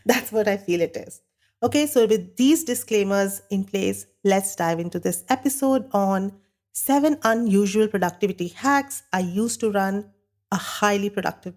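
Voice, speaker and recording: A woman hosting a podcast while she has a bad cold, sounding nasal and croaky, talking earnestly and candidly on her own. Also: medium pitch, darkish tone. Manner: earnest, candid, talks with a light laugh now and then. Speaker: podcast host. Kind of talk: solo podcast monologue.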